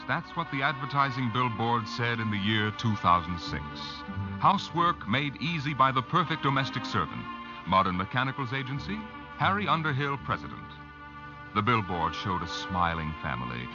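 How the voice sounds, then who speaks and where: A man narrating a 1950s radio drama as its host, measured and dramatic. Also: medium pitch, big pitch swings. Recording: dull, band-limited old radio recording. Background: music.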